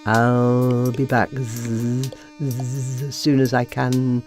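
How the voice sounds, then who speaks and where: A woman voicing a big fat bumblebee, helpful and slow, buzzing on its z sounds. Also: very deep voice, flat delivery, loud music behind the voice, pitched below the performer's own voice, full character voice. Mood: buzzing, purposeful.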